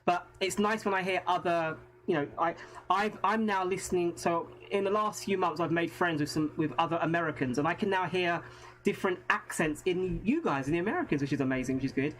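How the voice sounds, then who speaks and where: A man's voice: high voice, fairly quick, fairly bright tone, poor audio. A man from England with an English accent, hosting a live stream in a casual, chatty way and often thanking his viewers. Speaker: host.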